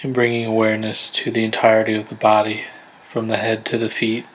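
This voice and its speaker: A man guiding a body scan meditation in a gentle, unhurried, measured way, leaving pauses between instructions. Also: lowish voice, monotone, rough recording. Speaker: meditation guide. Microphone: close USB condenser microphone.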